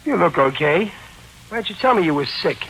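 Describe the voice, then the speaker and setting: A somewhat high male voice plays a big working-class tough guy in a 1940s radio mystery. He talks in rough, ungrammatical street slang but is good-natured underneath. The old recording carries slight noise. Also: sing-song, brisk. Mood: gruffly friendly.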